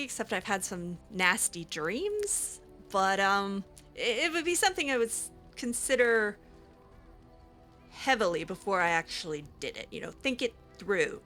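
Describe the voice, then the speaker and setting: A woman voicing her own character over a video game. She talks in a hesitant, rambling way, full of fillers and restarts, with a snarky edge. Her voice is bright and mid-pitched. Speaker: gaming roleplay host.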